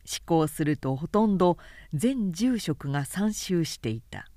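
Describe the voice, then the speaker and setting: A woman's voice: lowish voice, lively intonation, warm tone, no echo. Composed woman narrator in a very dry recording. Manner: measured, composed storytelling. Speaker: narrator reading a story aloud. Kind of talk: literary audiobook narration.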